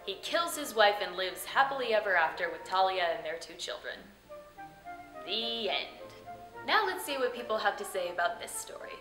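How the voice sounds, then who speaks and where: A woman's voice: medium pitch, animated, moderate pace. A woman hosting with scripted comic narration in a theatrical, tongue-in-cheek manner. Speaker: host and storyteller. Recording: roomy echo, faint music behind.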